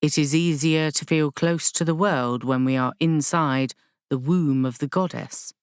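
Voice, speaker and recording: A female narrator plays a serene woman spiritual guide from a fantasy audiobook. She speaks low and slowly, explaining with gentle, unwavering patience and slightly formal wording. The recording is very dry. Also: smooth, no echo. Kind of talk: audiobook character dialogue.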